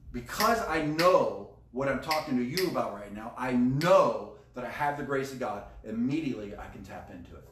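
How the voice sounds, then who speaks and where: A middle-aged American man preaching a conversational sermon as a pastor, emphatic and repeating key phrases. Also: fairly high pitch. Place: indoor room beside a screen. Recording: roomy echo, distant microphone.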